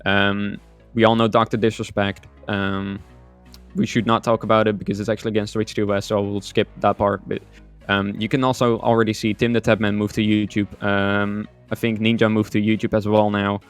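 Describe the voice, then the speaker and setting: A man's voice: on the low side, flat delivery, fairly quick, faint music behind. A man in his twenties from the Netherlands, giving casual answers as a streamer guest on a podcast. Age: 20s.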